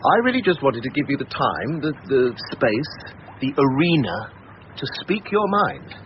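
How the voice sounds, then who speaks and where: An actor playing an earnest, anxious son-in-law in a radio drama, talking hesitant, touchy-feely therapy-speak. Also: male voice, medium pitch, very animated, a little slow, lo-fi sound, noisy background. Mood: earnest, soulful.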